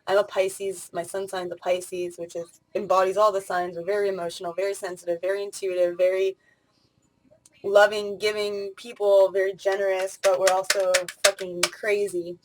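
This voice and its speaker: A woman's voice: mid-range voice, level tone, moderate pace, poor audio. A woman in her late twenties talking casually in a vlog, rambling with many fillers and restarts. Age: 20s. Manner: rambling, spur-of-the-moment talk with many fillers. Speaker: vlogger.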